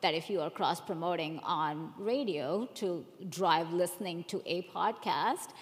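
A woman with a clearly low voice speaks as a panelist in a big, reverberant hall. She explains things step by step in a conversational way. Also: unhurried. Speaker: panelist, audience research executive. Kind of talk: casual panel discussion.

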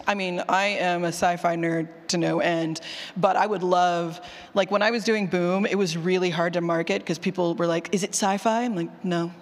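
A woman giving a casual, chatty workshop talk and taking questions, informal and self-deprecating, with a ready laugh. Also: lowish voice. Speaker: conference speaker on audio drama writing. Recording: echoey hall with long reverb.

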